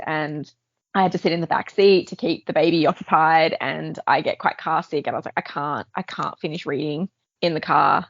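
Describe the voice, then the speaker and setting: Low-pitched woman chatting, slightly dull recording. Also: no echo. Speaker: podcast co-host. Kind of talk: casual book discussion. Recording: dull, band-limited sound, dry.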